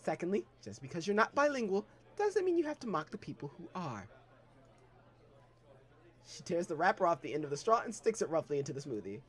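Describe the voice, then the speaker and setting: A man's voice: high voice, big pitch swings, brisk, husky, exaggerated voice. A man voicing a stern, disapproving young woman working as a barista, speaking curtly and scolding. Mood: cold. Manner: curt, scolding.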